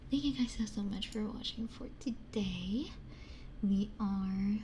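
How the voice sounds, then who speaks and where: A young woman ASMR streamer chatting to her viewers in a breathy, mostly whispered voice, casual, candid and playfully self-mocking, close on a binaural microphone. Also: mid-range voice, slow, noisy background. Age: young adult. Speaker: ASMR livestreamer. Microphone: 3Dio binaural ASMR microphone, close up.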